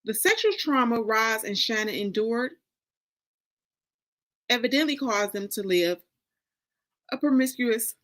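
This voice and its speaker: An American woman from Detroit giving a dramatic first-person story reading, with deliberate, expressive phrasing and pauses. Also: a little high. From Detroit, United States. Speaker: author reading from a novel.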